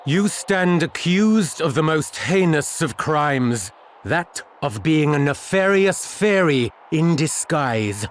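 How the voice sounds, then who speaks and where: An actor playing a stern, sanctimonious bishop in a video game, speaking in solemn, archaic oratory. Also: male voice, fairly high pitch, animated, slow pace, faint music behind. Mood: grave, accusing. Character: stern, sanctimonious bishop presiding over a witch trial. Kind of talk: dramatic video game acting.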